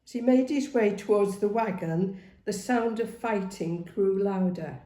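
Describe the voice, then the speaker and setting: A woman reading a novel aloud as dramatic, expressive narration. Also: mid-range voice, measured pace. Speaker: story reader. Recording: roomy, echoing sound.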